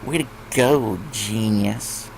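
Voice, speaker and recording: A man's voice: fairly low pitch, slow pace, vocal fry, slight background noise, poor audio. Man doing a mocking, bratty big-sister filly. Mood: mocking, sarcastic. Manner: sneering, sarcastic, deadpan teasing.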